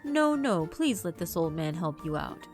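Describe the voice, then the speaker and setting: A woman voicing a large, polite old male headmaster yokai with a drawn-out, avuncular manner. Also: on the low side, sing-song, soft background music. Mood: helpful, jolly.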